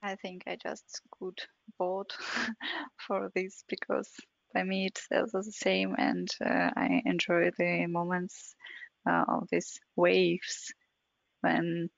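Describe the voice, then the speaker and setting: A woman's voice: fairly low pitch, little pitch movement, takes it slowly, creaky, dry recording. A woman in a video-call discussion, hesitant with many fillers. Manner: hesitant, many "uh"s, small laughs. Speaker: participant in online session. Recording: dry, dull video-call audio.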